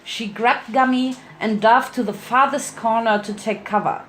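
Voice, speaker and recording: A woman's voice: fairly high pitch, fairly even intonation, normal speed, fairly bright tone, a little hiss or hum. A woman giving a playful, theatrical dramatic reading of a fan fiction story as its narrator.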